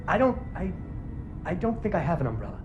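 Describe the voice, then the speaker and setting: Expressive, playful father telling a fairy tale. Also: sits high, a little fast, muffled recording, music in the background. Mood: bemused. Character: patient father improvising a fairy tale for his daughter. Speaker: storyteller. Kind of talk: dramatic bedtime-story narration in a video game.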